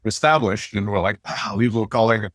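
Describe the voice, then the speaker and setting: A man in his forties, raised in Turkey with Turkish as his first language, speaks quickly in a slightly low voice. He tells stories eagerly as an interview guest. The recording is dry and close. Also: no room sound. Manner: fast, animated storyteller. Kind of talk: casual interview storytelling.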